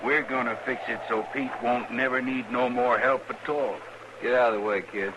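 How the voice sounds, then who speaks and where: An actor playing a cold, taunting outlaw gang leader with a folksy drawl in an old-time radio Western. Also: male voice, medium pitch, fairly even intonation, moderate pace, close to an everyday voice. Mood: mocking menace. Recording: noisy old radio drama recording.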